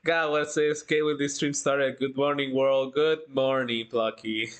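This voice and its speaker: Giggly, halting livestream host chatting casually. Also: mid-range voice, fairly even intonation. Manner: giggly, halting, breaks into laughter often. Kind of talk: casual chat, reading out live chat.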